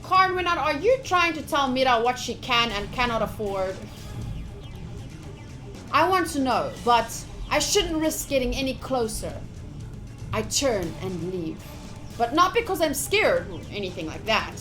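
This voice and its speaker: High voiced girl streamer reading a visual novel out loud, bit dramatic then chatty, faint music behind. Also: big pitch swings, unhurried. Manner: switches between dramatic read-aloud and chatty asides. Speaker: game streamer. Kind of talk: live visual novel playthrough, reading aloud and commenting to chat.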